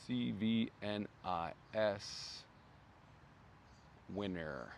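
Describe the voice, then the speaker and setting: A man hosting a casual brand livestream outdoors, rambling with many fillers and restarts, his voice going hoarse. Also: on the low side, animated, extremely slow, a little hiss or hum. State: losing his voice. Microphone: phone.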